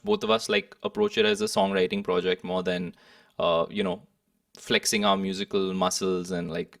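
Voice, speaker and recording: A man's voice: deep voice, gravelly, a bit bright, clean studio sound. A young man from India, speaking hesitantly and thoughtfully as a musician being interviewed. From Kolkata, India. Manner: hesitant, thoughtful, hedging phrasing. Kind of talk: reflective interview conversation. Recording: echoey room, distant microphone.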